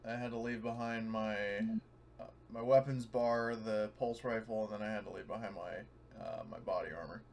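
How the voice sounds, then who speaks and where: A man's voice: on the low side, steady tone, moderate pace, darkish tone. A man playing a tabletop roleplaying game, chatting casually, picked up from a distance with noise around him. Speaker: tabletop RPG player. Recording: distant mic, clear background noise.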